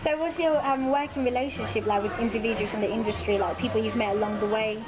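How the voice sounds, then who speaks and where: A woman's voice: on the high side, fairly even intonation, quick pace. A woman asking casual questions as an interviewer. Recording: muffled lo-fi audio under loud music.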